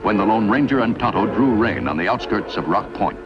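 A man's voice: fairly low pitch, lively intonation, brisk, close to an everyday voice. A man narrating a 1950s western adventure with grand, emphatic announcer delivery. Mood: solemn, dramatic. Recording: muffled vintage soundtrack over loud music.

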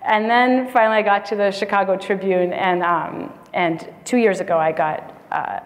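A woman's voice: mid-range voice, steady tone, moderate pace. A woman in her thirties from the United States, a medical writer chatting as a guest on a live podcast, with frequent laughs and fillers. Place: conference stage before an audience. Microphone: lapel mic. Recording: long hall reverb.

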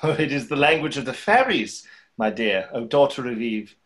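A man's voice: medium pitch, animated, moderate pace, fairly bright tone, close to an everyday voice. A young man playing a mysterious cloaked stranger who poses as a fairy, speaking in lofty, poetic riddles in a play reading. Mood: playful, mysterious. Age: young adult. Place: small room at home, on a video call.

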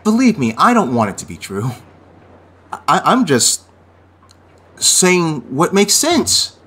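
A man playing an anxious, earnest teenage boy in a fantasy audio drama, speaking haltingly. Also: a little high, huge pitch swings, a bit bright, breathy edge, exaggerated voice. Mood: anxious, hesitant. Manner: hesitant, halting, stammering.

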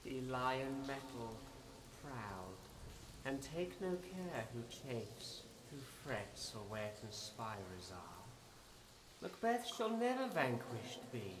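A ghostly, echoing prophetic apparition. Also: male voice, medium pitch, very animated. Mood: portentous. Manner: slow, portentous. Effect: heavy reverb. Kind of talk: Shakespearean radio drama acting. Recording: echoey long reverb, faint noise.

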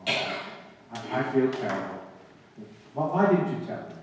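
An exasperated, soft-hearted father, played by a man, questions sternly and stammers in old-time radio comedy style. His pitch swings widely. He sounds far off in a very echoey hall with faint noise. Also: big pitch swings, unhurried. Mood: soft, remorseful. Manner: stern, flustered, stammering interrogation. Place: small auditorium stage, audience in dark. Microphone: far camera.

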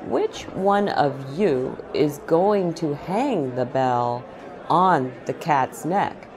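A woman voicing a grave, wise old male mouse from a storybook, speaking in a measured, pointed way. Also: low-pitched, expressive, a crowd in the background, background noise, full character voice. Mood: grave, knowing.

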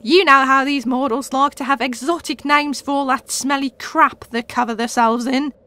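A woman plays a bossy British female creature of the witch world in an audio comedy. The voice is high and piercingly bright. She is sarcastic, impatient and full of brash, scornful slang. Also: steady tone, moderate pace. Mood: exasperated. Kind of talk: comedy audio drama acting.

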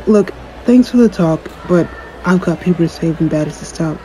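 Man acting jaded, mellow-voiced cocky superhero. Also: fairly high pitch, normal speed, lightly acted, poor audio. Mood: aloof, smug. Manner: dry, sarcastic, aloof. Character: jaded, cocky young male superhero, dismissive of his fan. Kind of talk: audio roleplay acting, one-sided conversation.

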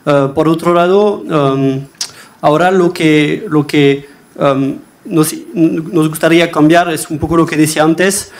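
Young man, slightly high voice, hesitant, many fillers, panel answer, desk mic, large chamber. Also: steady tone, unhurried. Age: young adult. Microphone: desk microphone.

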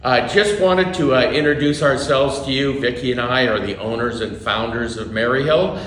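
A man's voice: medium pitch, normal speed, a bit bright, a little hiss or hum. A middle-aged man from Washington state, a winery co-owner, speaking in a friendly, upbeat way with some filler sounds. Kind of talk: promotional to-camera talk. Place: tasting room at a wooden bar. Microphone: camera a few feet away. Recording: roomy indoor echo.

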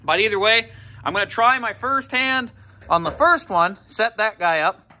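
A young man with a fairly high, bright voice chats his way through a workshop project. He is easygoing and laughs at his own slip-ups. He films it all on an action camera inside a big garage. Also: expressive, normal speed. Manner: easygoing, self-deprecating, talks straight to viewers. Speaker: garage builder showing a project. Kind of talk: casual how-to workshop vlog. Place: large indoor workshop garage. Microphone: handheld or body-worn action camera.

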